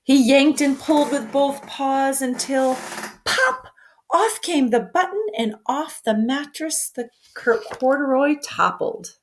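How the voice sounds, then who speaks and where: Teacher reading a picture book aloud to children, expressive, engages the listener with questions. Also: female voice, high voice, slow, slight background noise.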